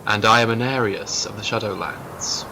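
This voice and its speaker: Bright-toned, dry, guarded male dragon played by a man. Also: on the low side, steady tone, normal speed, vocal fry, slight background noise, low-quality recording. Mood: dry, unimpressed. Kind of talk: animated fantasy web series dialogue.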